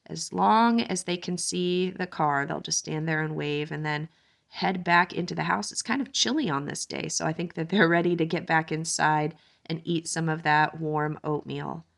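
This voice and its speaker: A woman in her thirties gently narrating a homesteading family's day as a conversational vlog voice-over, with frequent "um" fillers. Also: sits low, moderate pace, creaky. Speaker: homesteading vlogger and mother. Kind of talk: relaxed voice-over narration of a daily-life vlog.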